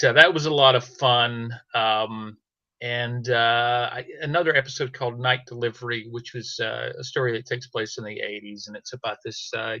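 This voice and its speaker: A middle-aged man with a bright, sharp-edged voice chats casually as a podcaster. His delivery is halting and hesitant, and the recording is dry but slightly muffled. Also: mid-range voice, no room sound. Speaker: audio drama podcaster. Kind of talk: casual chat.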